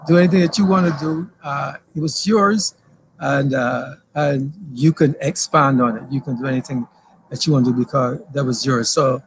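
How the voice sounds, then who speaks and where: A man's voice: medium pitch, normal speed, slight background noise, rough recording. Barbadian man telling his story outdoors. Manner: hesitant, many "uh" fillers and restarts. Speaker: guest. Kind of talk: relaxed interview storytelling. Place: backyard deck outdoors. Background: passing plane and outdoor ambience.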